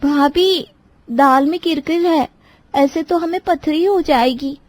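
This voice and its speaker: A high, girlish young sister-in-law from a moral-story cartoon, played by a woman. She is expressive, complaining yet fond of her family, and recorded close and dry. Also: takes it slowly, no echo. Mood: whiny complaint.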